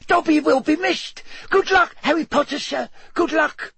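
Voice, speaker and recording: A man voicing a small, earnest, frantic house elf servant in a storybook reading, squeaky, servile and urgent. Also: normal speed, fairly bright tone, dry recording, full character voice. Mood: anxious. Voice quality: squeaky, husky. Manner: servile, urgent, refers to himself in third person.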